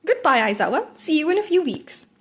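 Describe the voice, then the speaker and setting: Woman doing a high airy animal principal guy from a story, super polite and loves teasing. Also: very animated, a little fast, a bit bright. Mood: gleeful teasing. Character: gleefully teasing male principal, a talking animal.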